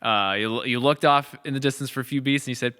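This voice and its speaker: Clear, bright-voiced American man hosting a podcast. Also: mid-range voice, fast talker. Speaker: podcast host and series creator. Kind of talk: casual reflective podcast conversation.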